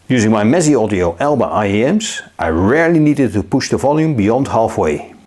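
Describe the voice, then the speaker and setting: A man narrating a scripted gadget review in a measured, upbeat way. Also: mid-range voice, darkish tone, breathy edge. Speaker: tech reviewer.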